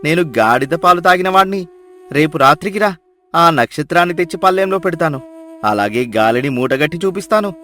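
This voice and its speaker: A man's voice: on the high side, lively intonation, brisk, a bit bright. A man playing an arrogant, gullible village headman, pompous and commanding as he boasts in a dramatised moral story. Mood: indignant, boastful. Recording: music behind the voice.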